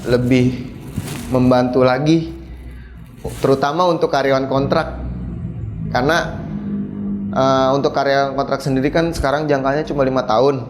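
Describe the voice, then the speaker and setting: A man's voice: mid-range voice, fairly even intonation, normal speed, pauses often. Young man in echoey room. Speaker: interviewee, company employee. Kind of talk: casual interview answers.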